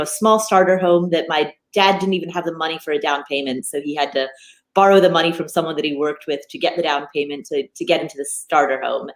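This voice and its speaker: A woman in her thirties, a finance podcaster from Nepal raised in the United States, talking animatedly as an interview guest with many restarts. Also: lowish voice. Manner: animated, frequent restarts and fillers. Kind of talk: conversational interview. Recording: echoey room.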